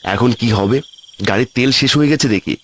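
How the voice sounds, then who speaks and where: A man playing an excitable city office worker on a village trip in a cartoon ghost story. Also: mid-range voice, normal speed, a little hiss or hum, lightly acted. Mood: exasperated. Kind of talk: cartoon horror story voice acting.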